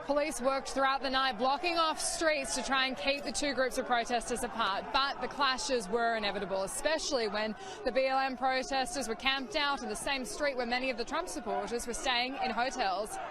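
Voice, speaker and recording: A woman reports the news in a brisk, raised voice pitched fairly high. A clear crowd is heard outdoors behind her. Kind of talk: broadcast news narration.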